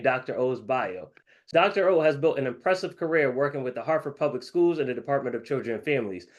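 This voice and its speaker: A man hosts an interview show with an upbeat, promotional manner. He talks up his guest and her event in a friendly way. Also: medium pitch. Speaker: host.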